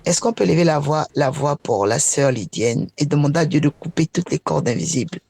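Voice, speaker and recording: A woman's voice: low voice, lively intonation, fairly quick, vocal fry, warm tone, husky, no echo. A woman leading a prayer meeting as a prophetess, speaking spontaneously and repeating phrases as she searches for words.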